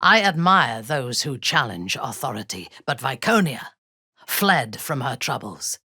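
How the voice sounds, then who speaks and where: An imperious dark elf commander from a fantasy game. Her voice is low and husky, close and dry, and she speaks deliberately with haughty menace, her cold lines swinging widely in pitch. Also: big pitch swings, no room sound, heavily acted. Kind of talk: dramatic video game acting.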